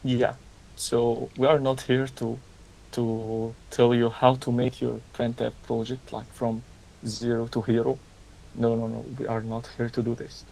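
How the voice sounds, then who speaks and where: Halting young man with a mellow voice. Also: mid-range voice, completely flat delivery, creaky, low-quality recording. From Egypt. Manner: halting, many fillers and restarts. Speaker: learning ambassador, session presenter. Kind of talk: casual online tutorial talk.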